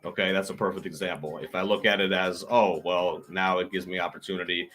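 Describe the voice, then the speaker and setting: Casual male podcast co-host, punchy hype-man delivery, bright edge. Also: low voice, steady tone, brisk. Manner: hype-man openings, emphatic repeats.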